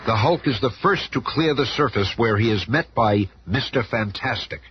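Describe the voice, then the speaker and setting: A man's voice: mid-range voice, expressive, moderate pace, natural voice. A man narrating a radio drama in a grandiose, suspenseful scripted style. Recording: muffled, very noisy old radio recording.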